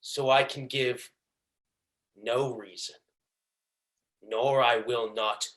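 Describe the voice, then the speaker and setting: A young man playing a proud, vengeful merchant villain in a Shakespearean stage monologue, declaiming deliberately and tauntingly. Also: medium pitch, fairly even intonation, a bit bright. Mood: cold hatred. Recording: echoey room.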